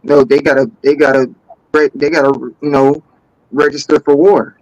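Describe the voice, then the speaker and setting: Slightly high-voiced man chatting casually. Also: level tone, normal speed, a little mellow, no echo, highs cut off. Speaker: talk show participant. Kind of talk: casual chat.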